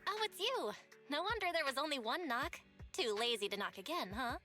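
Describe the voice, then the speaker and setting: A woman voicing a perky, teasing young shop-running proxy in a video game, very expressive. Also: high-pitched, a little fast, soft background music, exaggerated voice.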